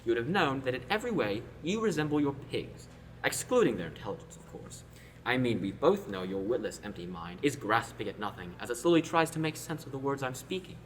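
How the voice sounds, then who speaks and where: A man's voice: medium pitch, very animated, fairly quick, a little hiss or hum, natural voice. A teenage boy in a student radio play, acting an arrogant young noble prince who talks in a haughty, cutting way.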